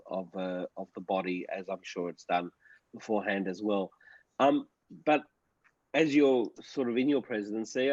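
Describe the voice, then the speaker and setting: A man's voice: lowish voice, a little mellow, dry recording. A man hosting an online panel, polite and hesitant, with many ums and restarts. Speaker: host, moderator. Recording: dry, dull lo-fi sound.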